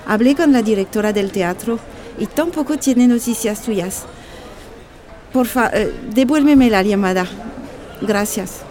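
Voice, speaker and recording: A woman's voice: on the high side, dark tone, close to an everyday voice. A woman playing a worried journalist, hesitant and pleading, in an audio drama. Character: worried young female journalist seeking her boyfriend.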